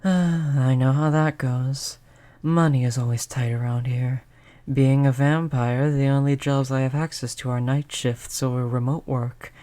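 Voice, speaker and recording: A performer as a youthful vampire, mid-pitched, courteous, chatty, reassuring, intimate roleplay addressed to the listener. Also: fairly even intonation, measured pace, warm tone, natural voice. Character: polite vampire turned young, stuck looking like a child. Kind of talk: audio roleplay spoken to the listener.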